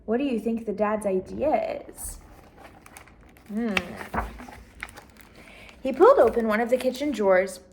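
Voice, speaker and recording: A woman's voice: a little high, lively intonation, unhurried, long pauses, a little mellow, a little hiss or hum. A woman reading a children's picture book aloud as a storyteller, expressively and engaging her listeners with questions.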